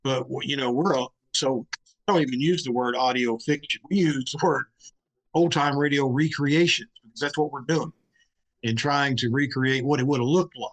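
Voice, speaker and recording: A man's voice: medium pitch, moderate pace, rough recording. A man with a mid-range voice speaks as a panelist. His way of talking is plain and conversational as he explains how he works. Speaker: panelist, live radio recreation group member.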